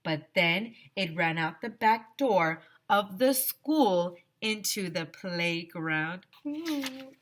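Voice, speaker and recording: A woman teacher reading a children's story aloud expressively, with dramatic pauses and direct asides to young listeners. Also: on the low side, fairly bright tone.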